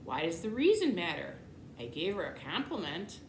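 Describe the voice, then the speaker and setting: A woman's voice: on the low side, big pitch swings, normal speed. Woman reading as an earnest, literal young boy, echoey. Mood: blunt. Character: earnest, blunt, literal-minded young boy who loves animals. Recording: echoey room, clear background noise.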